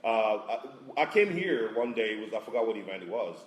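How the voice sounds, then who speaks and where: A man from answers on a panel in a halting, animated way, his pitch swinging up and down as he hunts for words. The room is echoey around him. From Congo. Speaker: panelist, business operator. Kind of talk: panel discussion.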